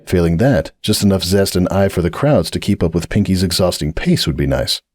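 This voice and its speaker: A man narrating a dramatic audiobook reading of a fanfiction story in a measured, expressive way. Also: low voice, dry recording, studio quality.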